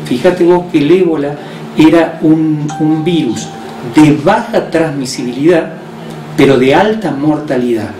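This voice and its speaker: A man with an Argentine accent, a hospital director who explains health measures on the radio in a measured way, with frequent hesitations. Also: fairly high pitch, dark tone. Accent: Argentine Spanish. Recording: roomy distant mic with faint noise.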